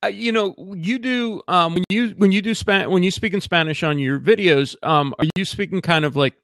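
A man hosts a live video interview, reading questions from viewers in a loose, meandering way. His voice sits a bit high for a man, and the sound is close and dry with no room echo. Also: moderate pace. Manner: relaxed, hesitant with fillers, reads viewer questions. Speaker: host. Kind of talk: livestream interview.

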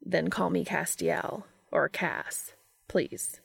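A woman's voice: lowish voice, slow pace, creaky, slightly rough, faint music behind, full character voice. A woman voicing a polite, reserved male professor in his thirties for an audiobook story. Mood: polite, soft.

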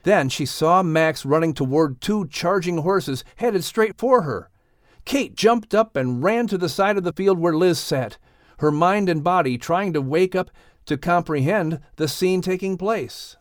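A man reading a scripted audiobook story with a dramatic, expressive manner. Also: high voice, measured pace, no room sound, studio quality. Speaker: audiobook narrator.

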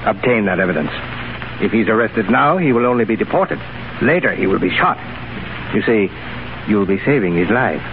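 An actor playing a cold, calculating Russian secret police official in a 1930s radio drama. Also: male voice, lowish voice, lively intonation, normal speed, pauses often. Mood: cold, controlled. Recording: noisy, narrow-band 1930s radio broadcast.

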